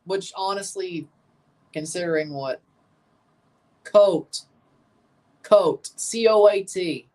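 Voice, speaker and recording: A woman's voice: on the low side, slow pace, long pauses, darkish tone. A woman hosting a livestream watchalong, giving casual live football commentary and chatting with viewers, often repeating and correcting herself.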